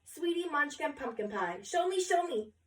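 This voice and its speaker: Woman acting out an excitable, high-pitched storybook photographer man, echoey. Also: normal speed. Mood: coaxing, begging. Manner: yelling, begging, sweet-talking with baby talk. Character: excitable, pleading, easily flustered male school photographer. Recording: roomy echo, distant mic.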